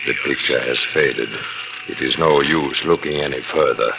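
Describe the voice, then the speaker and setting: Spooky mystic guy, crazy deep gravelly voice, slow and doom-y, old hissy radio. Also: muffled recording. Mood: ominous. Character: cryptic male mystic seer, calm and ominous.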